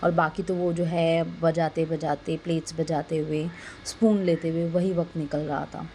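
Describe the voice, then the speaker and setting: A young woman narrates a vlog in a soft, mellow voice that sits a little low. Her throat is sore and a bit hoarse, and she chats casually, dropping in English words. There is faint noise behind the recording. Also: level tone, moderate pace. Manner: conversational, mixes in English words. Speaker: vlogger narrating a family outing. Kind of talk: casual voice-over narration, chatty.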